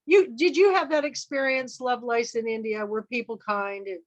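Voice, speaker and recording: A woman hosting a film club chat, talking loudly and rambling, trailing off with fillers. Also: fairly high pitch, moderate pace. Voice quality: loud voice. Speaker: book and film club host. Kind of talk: casual group chat.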